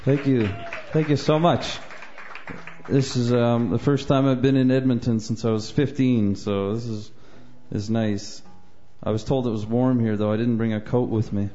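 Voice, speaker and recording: A man from Nova Scotia talks on stage before his song, soft-spoken and hesitant, with lots of fillers. His voice is dark and mellow. Faint guitar sounds behind him in a dull, lo-fi live recording. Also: medium pitch, steady tone, normal speed. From Nova Scotia, Canada. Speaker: folk singer-songwriter. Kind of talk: casual stage talk between songs. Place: small live venue on stage. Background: guitar playing faintly.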